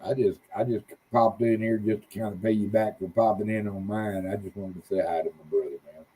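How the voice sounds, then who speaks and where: A man's voice: lowish voice, fairly even intonation, a little fast, warm tone, slightly rough, poor audio. A man, a little tipsy, chatting as a guest on a livestream in a rambling, folksy way. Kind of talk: casual livestream chat.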